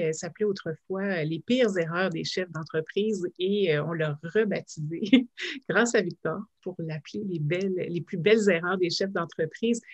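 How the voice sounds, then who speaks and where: A woman hosts a virtual conference, her voice a little husky. She speaks in a friendly way over a video call and often pauses on filler sounds between phrases. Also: on the low side, lo-fi sound. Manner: friendly, many filler "euh"s. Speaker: host and moderator.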